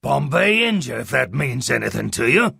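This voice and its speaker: A man voicing a rough, bullying, sarcastic smuggler captain with loud, salty sailor talk, in pulp radio-drama style. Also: medium pitch, sing-song, moderate pace, no echo, studio quality, pitched above the performer's own voice, full character voice. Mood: boastful.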